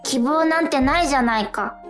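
A woman's voice: high voice, fairly quick, faint music behind, natural voice. A woman playing a frightened, questioning young flower bud in a whimsical radio drama, with a childlike, plaintive delivery.

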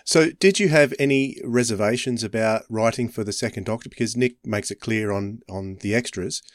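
A man interviews a guest in a conversational style. His voice is a little low and the sound is very dry, with no room around it. He assembles his questions tentatively, in short halting phrases. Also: no echo.

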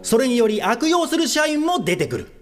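A man plays a wry narrator for an office radio comedy. His voice is strikingly high and swings with lively, animated intonation. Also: moderate pace, faint music behind.

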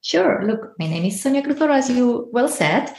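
A woman's voice: on the high side, sing-song, moderate pace, gravelly, a little mellow. A woman in her forties from Spain, with a Spanish accent, telling her own story conversationally as a podcast guest. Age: 40s. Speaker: podcast guest, travel and life coach. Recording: roomy, echoey sound.

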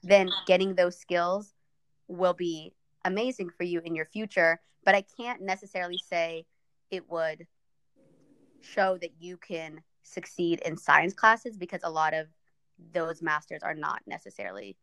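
A young woman from Ethiopia speaks as a podcast guest in a relaxed, thoughtful way, leaning on "um" and "like." Her voice sits low and smooth. The sound is dry and close. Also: a little slow, no echo, poor audio. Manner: thoughtful, frequent "um" and "like". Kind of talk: casual podcast conversation.

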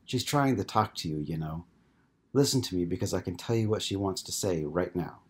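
A man voicing a shy young child ghost who talks in a halting, childlike way through a medium. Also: deep voice, animated, husky. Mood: hesitant childlike.

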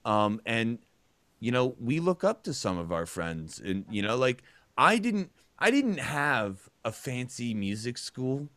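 A man's voice: medium pitch, very animated, measured pace, fairly bright tone, no echo. A man co-hosting a music podcast, a music teacher chatting in a loose, rambling, jokey way. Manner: rambling, jokey, plays with accents. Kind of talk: casual chat.

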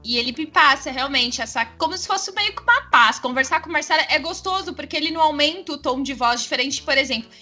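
A woman's voice: high voice, expressive, fast talker, hardly any pauses, pushed and bright. A woman with a Brazilian accent in casual podcast conversation as a co-host. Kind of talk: casual chat.

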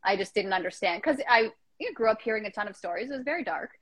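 A woman's voice: medium pitch, lively intonation, fast, crowd noise. A woman hosting a casual live-stream chat, rambling and joking about herself. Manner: chatty, rambling, self-deprecating jokes.

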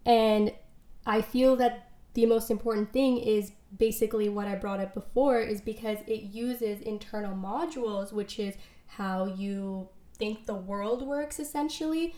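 A woman's voice: mid-range voice, unhurried, warm tone. A woman hosting her own podcast, talking earnestly through ideas and quotes, her voice a little worn from being unwell. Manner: earnest, explanatory, quotes and examples. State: recovering from lost voice. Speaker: podcast host, life coach. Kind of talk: solo podcast talk. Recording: echoey room.

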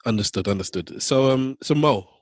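A man's voice: mid-range voice, normal speed, no echo, clean studio sound. A man co-hosting a podcast, asking questions casually and repeating himself as he thinks aloud. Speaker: podcast co-host. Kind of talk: casual chat.

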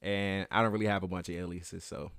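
A man co-hosting a casual podcast, easygoing and quick to laugh. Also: sits low, flat delivery, fairly bright tone, no echo, clean studio sound. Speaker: podcast co-host. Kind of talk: casual chat.

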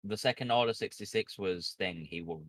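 A male podcast co-host with a low voice who recaps game plots step by step. He corrects the others in a casual chat. The recording is dry and close. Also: fairly quick, a bit bright, no room sound.

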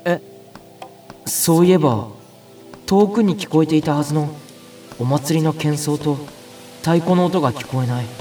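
A man acting an ordinary, excitable young big-brother type in a Japanese audio drama, chatty and comically flustered, with first-person narration. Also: on the high side, moderate pace, long pauses, distant mic, faint music behind, natural voice. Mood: uneasy narration.

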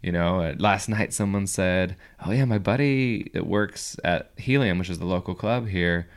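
Deep-voiced man chatting casually. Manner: rambling, self-interrupting, quick laughs. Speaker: podcast host and comedian. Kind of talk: casual interview chat.

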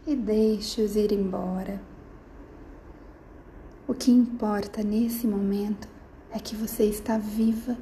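A woman leads a guided sleep meditation, her voice mellow and a little high. She speaks very slowly and softly, drifting into near-whispers between long pauses. A faint ambient hum sits under the recording. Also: low-quality recording. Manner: slow, soft and soothing, with gentle pauses.

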